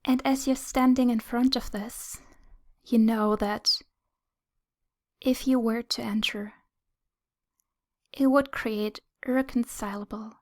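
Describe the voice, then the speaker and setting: A woman leading a guided meditation in a soothing, gentle, breathy whisper, speaking in short phrases with long pauses. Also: fairly high pitch.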